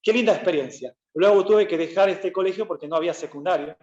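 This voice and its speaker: A pastor gives a loose, conversational sermon, stopping to correct himself and filling gaps with "eh". His voice sits a little high for a man. It is caught in a roomy church with a slightly dull, muffled sound. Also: fast talker. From Peru. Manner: relaxed, chatty, frequent "eh" fillers and self-corrections. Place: church hall.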